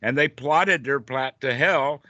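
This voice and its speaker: An older man speaks as a meditation teacher in an informal group talk. His voice is bright and forceful, and his manner is jovial and colloquial, often checking in with a short question. The sound is close and dry but a bit dull, like online call audio. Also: mid-range voice, measured pace, dry recording.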